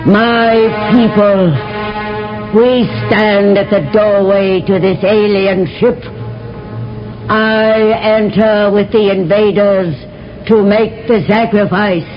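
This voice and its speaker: Alien empress, imperious and cunning, played by a woman; slow, regal delivery; slightly muffled vintage broadcast. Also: mid-range voice, steady tone, faint music behind, lightly acted. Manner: regal, commanding, theatrical pronouncements. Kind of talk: 1970s radio drama acting.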